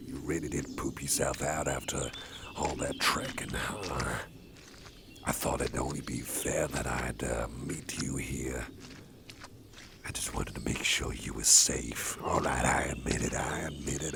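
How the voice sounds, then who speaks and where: A man voices a soft-hearted, protective boss in an ASMR roleplay, whispering close and slow. His voice sits extremely low with a gravelly fry. Outdoor farm ambience and animal sounds come through clearly behind him. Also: background noise, heavily acted. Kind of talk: ASMR personal attention roleplay.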